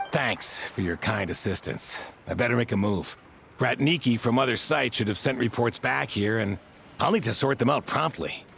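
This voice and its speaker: Dutiful male soldier, casual, frank, gravelly, medium pitch, video game dialogue. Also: a bit bright, a little hiss or hum. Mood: businesslike. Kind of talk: English video game voice acting.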